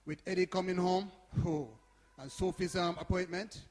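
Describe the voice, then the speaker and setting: A man's voice: high-pitched, fairly even intonation, normal speed, a little mellow, close to an everyday voice. An actor playing a caring, fatherly older man in a live family play. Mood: concerned, gentle. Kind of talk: stage play acting. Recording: echoey hall, distant sound.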